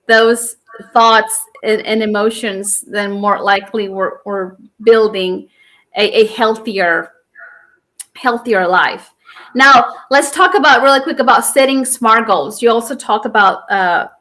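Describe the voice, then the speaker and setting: A woman hosts the interview with a bright, forceful, slightly high voice. She keeps an encouraging, affirming manner as she prompts her guest. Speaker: podcast host. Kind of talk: conversational interview.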